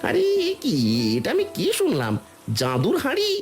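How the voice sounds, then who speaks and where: A man voicing a scheming, greedy village butcher in a cartoon, an excitable husband who talks in agitated exclamations. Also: very high voice, normal speed, faint music behind, heavily acted. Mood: excited, greedy. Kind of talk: cartoon voice acting.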